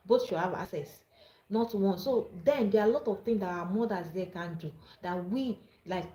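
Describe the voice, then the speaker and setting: Nigerian woman, live-stream host; earnest, halting delivery, mellow tone, echoey room. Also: mid-range voice. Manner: earnest, repeats and restarts words. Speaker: livestream host. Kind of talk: live-streamed advice talk.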